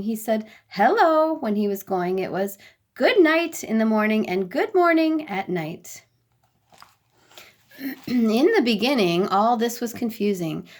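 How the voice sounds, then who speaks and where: Female storyteller, slightly high register, expressive and deliberate, for children's bedtime tales.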